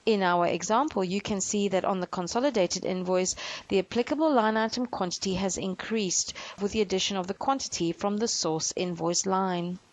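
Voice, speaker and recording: A woman reading a scripted software demo narration in a measured, instructional way. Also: mid-range voice.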